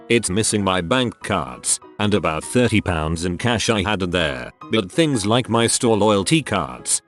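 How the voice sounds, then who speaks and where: A man narrating online posts aloud in a steady read-aloud delivery. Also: lowish voice.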